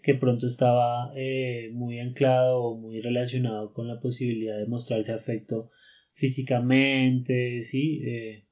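Even-toned male host, lo-fi. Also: medium pitch. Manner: measured, thinks aloud, frequent tag questions. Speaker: podcast host. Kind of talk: casual conversation.

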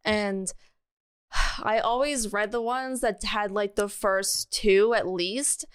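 A woman's voice: medium pitch, steady tone, slow, clear, resonant tone. An American woman co-hosting a podcast in casual, comedic chat, rambling and giggly as she goes. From Maryland, United States. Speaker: podcast co-host.

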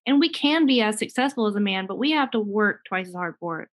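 A woman's voice: medium pitch, expressive, quick pace. A woman hosting an interview, reacting to her guest eagerly and chiming in with agreement. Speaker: interview host. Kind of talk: casual interview chat.

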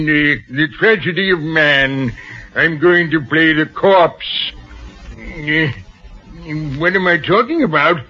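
Slow, tipsy, hammy old actor. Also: male voice, sits high, exaggerated voice. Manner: halting, hammy, slurred drunken delivery. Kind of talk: old-time radio drama acting. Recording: muffled old radio recording with music.

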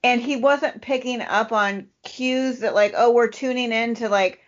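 A woman's voice: on the high side, steady tone, a little slow. A woman co-hosting a podcast, telling stories in a casual, chatty way with "like" sprinkled all through. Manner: chatty, uses "like" constantly. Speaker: podcast co-host. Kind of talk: casual conversational storytelling. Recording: dull lo-fi podcast audio.

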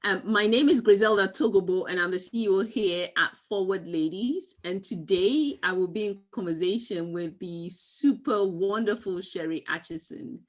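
A woman's voice: medium pitch, normal speed, hardly any pauses. Effusive woman hosting a video interview. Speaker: host and company CEO. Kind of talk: hosted interview.

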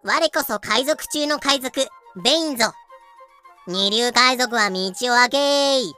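Actress as imperious pirate braggart, pompous, forceful sharp voice, audio drama. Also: female voice, a little high, slow pace, clean tone, soft background music. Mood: boastful. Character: swaggering, boastful pirate braggart. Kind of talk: fan-made audio drama acting.

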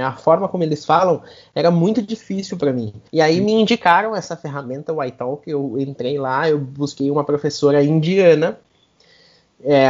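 Brazilian male co-host, animated storyteller, slightly high pitch, muffled lo-fi sound. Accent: Brazilian Portuguese. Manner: chatty, animated storyteller, often adds "né?". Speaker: podcast co-host. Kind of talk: casual chat, personal anecdote. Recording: dull lo-fi sound.

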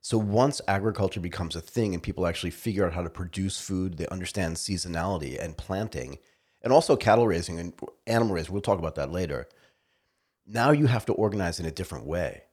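A man's voice: deep voice, darkish tone, slightly rough. A man hosting a podcast, asking curious, enthusiastic questions. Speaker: podcast host. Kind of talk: podcast interview.

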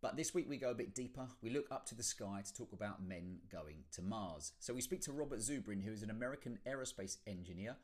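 The fairly deep-voiced bloke who opens a weekly podcast by joking at his own expense before the serious guest comes on. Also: expressive, fast, few pauses, a little mellow. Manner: tongue-in-cheek, self-deprecating. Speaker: podcast host. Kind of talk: casual scripted podcast introduction.

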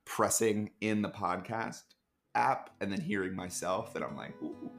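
A man as a podcast guest in casual chat, rambling with dark deadpan jokes over a video call. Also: fairly low pitch, a little slow, vocal fry, faint music behind.

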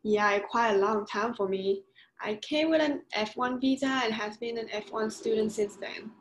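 A woman's voice: on the high side, normal speed, roomy sound, poor audio. A young woman in her twenties from Vietnam, speaking English with a Vietnamese accent, giving earnest answers as an interview guest. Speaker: graduate student guest.

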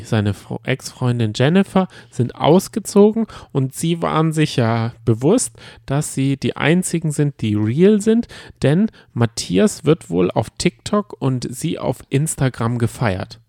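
A man's voice: a little high, gravelly, darkish tone, no room sound, studio quality. A man co-hosting a podcast in casual chat, with a teasing, wry and colloquial way of talking. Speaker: podcast co-host. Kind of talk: casual chat about a reality show.

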